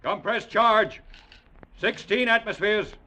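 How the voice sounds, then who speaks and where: High-voiced, curt Martian officer. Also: male voice, slow, bright, forceful tone, full character voice. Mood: stern, commanding. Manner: clipped, military. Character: curt Martian squad officer barking weapon orders. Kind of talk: old-time radio drama acting. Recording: noisy old radio recording.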